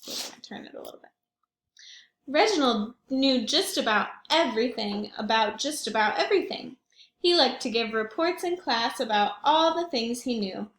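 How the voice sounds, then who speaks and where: A woman's voice: a little high, animated, normal speed, a bit bright. A woman leading a read-aloud storytime for young children, putting questions and asides straight to them as she goes. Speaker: children's librarian reading aloud. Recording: echoey room.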